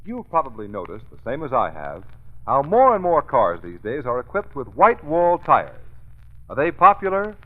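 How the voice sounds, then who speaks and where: Male 1930s radio pitchman, lively intonation, emphatic selling, thin narrow-band recording. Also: normal speed. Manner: emphatic, salesmanlike. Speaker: radio announcer.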